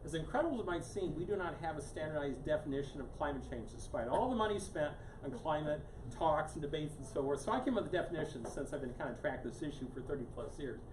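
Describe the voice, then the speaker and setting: A man's voice: a little high, expressive, quick pace, few pauses. A man giving a casual live lecture to a small audience, restarting his sentences and tacking "here" onto almost every phrase. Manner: casual, repeats "here" constantly, many restarts. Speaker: guest speaker on climate science. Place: meeting room with a live audience. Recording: roomy, distant mic with background noise.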